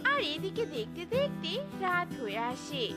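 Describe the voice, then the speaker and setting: A woman narrating a children's cartoon story in a lively, sing-song way. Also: very high voice, moderate pace, loud music behind the voice.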